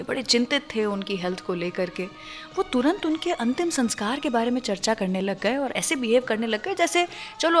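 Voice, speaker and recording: Indian woman radio host over background music. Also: a little high. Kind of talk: conversational storytelling radio presenting. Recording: music bed behind the voice.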